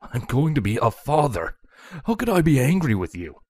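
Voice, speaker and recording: A man voicing a devoted pony soldier husband in an audio story, earnest and expressive. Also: mid-range voice, moderate pace, no echo, studio quality, voice pushed up. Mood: delighted. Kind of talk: audio story character reading.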